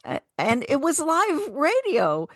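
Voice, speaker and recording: Casting: woman as radio co-host, conversational, expressive intonation, close dry sound. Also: high voice, takes it slowly, no echo. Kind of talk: casual radio chat with some program introductions.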